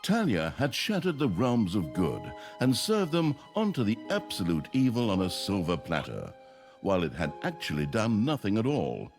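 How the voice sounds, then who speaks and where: Male game narrator, mock-epic and wry, sweeping highs and lows, music underneath. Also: wildly swooping pitch, moderate pace, a little mellow. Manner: theatrical, mock-grand storyteller with wry delivery. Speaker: narrator of a fantasy video game. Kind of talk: scripted comedic story narration.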